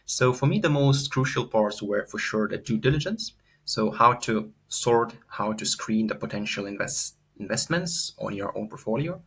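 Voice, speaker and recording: A man's voice: lowish voice, animated, moderate pace, fairly bright tone, breathy edge. A young man from Lithuania, giving an earnest, unscripted course testimonial full of fillers and repeated words.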